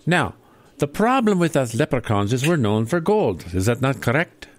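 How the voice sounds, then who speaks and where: Male actor as leprechaun chairman, bossy, pompous, formal, very animated, comic radio play. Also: mid-range voice, moderate pace, dry recording, close to an everyday voice. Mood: pompous, businesslike. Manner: pompous, exasperated formal address.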